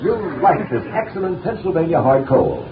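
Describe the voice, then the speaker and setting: A man announcing and narrating in an emphatic, booming 1930s radio style. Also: very animated, normal speed, background noise. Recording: tinny 1930s radio broadcast with music.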